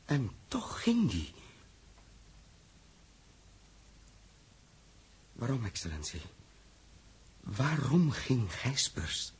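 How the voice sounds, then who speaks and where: An actor playing a blunt, sarcastic space captain in a 1960s Dutch radio play, with a husky voice. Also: male voice, mid-range voice, huge pitch swings, slow, heavily acted. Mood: shaken, subdued. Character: blunt, hot-headed male space captain, sarcastic toward experts. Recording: old 1960s radio drama recording.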